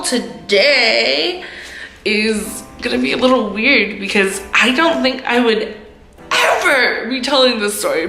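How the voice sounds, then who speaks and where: A woman in her twenties chats casually to camera in a loud, forceful, bright voice. Her delivery is giggly and self-mocking. The room adds echo, and faint music plays behind her. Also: on the high side. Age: 20s. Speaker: vlogger, host of own channel. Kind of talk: casual storytime chat to camera.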